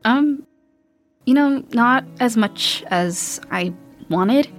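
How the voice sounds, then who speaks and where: A woman's voice: a little high, expressive, drawn-out words, faint music behind. A woman playing a wistful, modest older sister in a post-apocalyptic audio drama, speaking hesitantly and reflectively.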